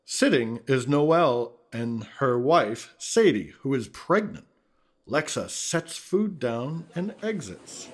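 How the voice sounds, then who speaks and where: A man narrates a radio play's stage directions in a husky voice. He takes his time, and his pitch moves up and down in a lively way. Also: takes it slowly.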